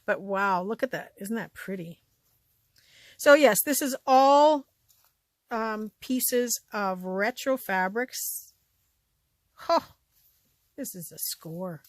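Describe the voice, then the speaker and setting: A woman from Canada with a slightly scratchy voice talks casually while she shows things off, her pitch sitting in an ordinary middle range. She often drifts into quieter asides before picking up again. The recording is dry and close, with no room sound. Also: expressive, slow pace, pauses often, dry recording. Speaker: vlogger showing a thrift haul. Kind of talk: casual show-and-tell chat.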